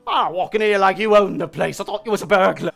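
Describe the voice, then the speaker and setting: A man playing a flustered, blunt housekeeper woman with a shrill voice. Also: fast talker, gravelly, a bit bright, faint music behind.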